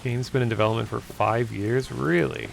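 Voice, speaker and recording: A man streaming a game, casually answering chat and talking through play, hoarse from losing his voice after hours of talking. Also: mid-range voice, moderate pace, a little hiss or hum. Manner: relaxed, easygoing, answers chat questions. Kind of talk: casual gameplay commentary, reading chat.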